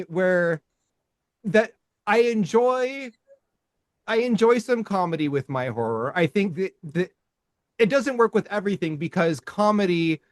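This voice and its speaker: A man's voice: high voice, dry recording. A middle-aged man co-hosting a movie podcast, rambling casually with restarts and swearing. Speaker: podcast co-host.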